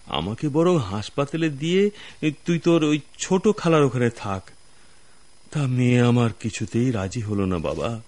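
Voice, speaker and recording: An actor playing an ailing, humble old father in a radio drama, speaking in a halting, gentle, pleading way. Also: mid-range voice, no room sound, natural voice. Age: older.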